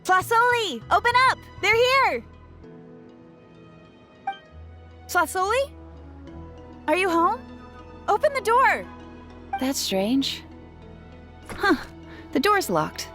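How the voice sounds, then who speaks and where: A woman voicing a bright, helpful, energetic young villager in a video game, eager and expressive. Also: very high-pitched, slow pace, pauses often, faint music behind, heavily acted. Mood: urgent calling. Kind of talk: English video game voice acting.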